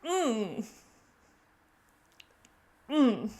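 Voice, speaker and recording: A woman's voice: on the high side, big pitch swings, drawn-out words, mellow. A woman giving casual reaction commentary on a game, musing aloud hesitantly and often repeating herself. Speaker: gaming reaction video creator.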